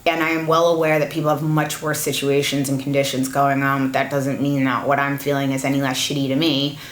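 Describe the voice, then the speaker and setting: Low, monotone woman in an echoey room. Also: fairly quick. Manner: monotone, blunt and frank, with fillers. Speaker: beauty YouTuber. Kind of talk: sit-down life update to camera. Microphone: camera with ring light.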